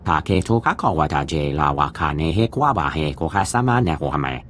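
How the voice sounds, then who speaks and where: A man voicing a gruff alien who speaks a guttural invented tongue in a video game. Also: very deep voice, lively intonation, fairly quick, fairly bright tone, a little hiss or hum. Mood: stern. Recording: music behind the voice.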